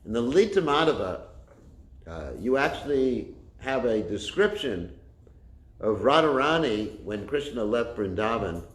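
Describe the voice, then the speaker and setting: A man with a loud voice giving a religious class, telling a story with many fillers and restarts, recorded without a microphone. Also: mid-range voice, very animated, a little slow. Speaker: spiritual teacher. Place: class room with a kitchen nearby. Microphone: no microphone, room recording. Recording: echoey room.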